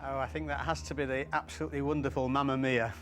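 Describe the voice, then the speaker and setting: A man answering quiz questions with confident, articulate enthusiasm. His voice sits at an ordinary middle pitch as he reasons each answer out aloud. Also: level tone, fast, fairly bright tone, faint music behind. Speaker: quiz team member.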